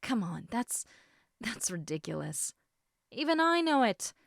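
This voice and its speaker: A woman playing a caring, slightly snarky snake woman in an intimate audio roleplay, speaking in a soft, hesitant conversational murmur that dips into whispers. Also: on the high side, sing-song, measured pace, creaky, no echo, studio quality, full character voice. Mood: earnest, worried.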